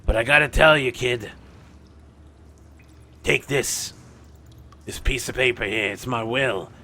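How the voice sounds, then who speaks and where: A man voicing a grizzled, battered old dwarf gangster with a gravelly voice and folksy tough talk in a tabletop game. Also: mid-range voice, takes it slowly, bright, forceful tone. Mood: weary, solemn. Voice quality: gravelly, creaky.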